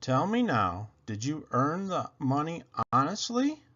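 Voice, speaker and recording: A man's voice: fairly high pitch, very animated, measured pace, pushed and bright, exaggerated voice. A man voicing an earnest father questioning his wayward son in a children's storybook. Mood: concerned. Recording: dull lo-fi sound.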